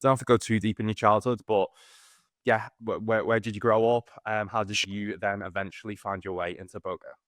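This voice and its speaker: Chummy podcast host, lowish voice. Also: male voice, fairly even intonation, brisk, no room sound, studio quality. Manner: loose and chummy, long rambling questions. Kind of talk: casual interview chat.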